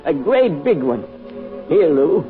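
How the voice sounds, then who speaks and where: An actor playing a gentle, reflective old grandfather in a folksy, musing way, in an old-time radio drama. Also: on the high side, big pitch swings, takes it slowly. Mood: wistful. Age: older. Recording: tinny band-limited old radio, music behind.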